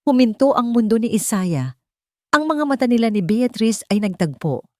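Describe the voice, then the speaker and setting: A woman's voice: on the high side, sing-song, moderate pace, a little mellow, dry recording, clean studio sound. A woman reading a dramatic scripted audio story as its narrator.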